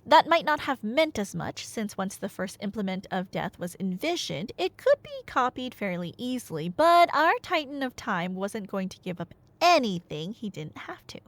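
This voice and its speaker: A woman playing a nerdy girlfriend in an ASMR roleplay, telling Greek myths conversationally with playful asides and dramatic pauses. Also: medium pitch, very animated, no echo. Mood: dramatic storytelling.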